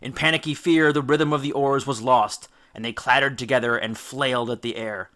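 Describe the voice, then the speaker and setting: Bright, forceful male storybook narrator. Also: medium pitch, steady tone. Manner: theatrical, emphatic, with deliberate dramatic stresses. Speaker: audiobook narrator. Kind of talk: dramatic scripted storybook narration.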